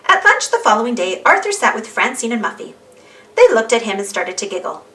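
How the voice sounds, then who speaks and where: Animated, bright-voiced woman reading a storybook. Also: a little high, a little fast, recorded from a distance. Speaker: storybook reader. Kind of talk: animated children's read-aloud narration.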